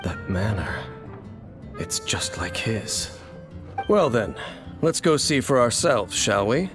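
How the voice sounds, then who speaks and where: A man playing a brooding, mysterious wanderer in a video game, speaking in a grave, measured, formal way. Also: fairly low pitch, big pitch swings. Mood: guarded, pensive. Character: brooding, mysterious male wanderer opposed to dark forces. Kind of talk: dramatic video game dialogue. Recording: game music behind.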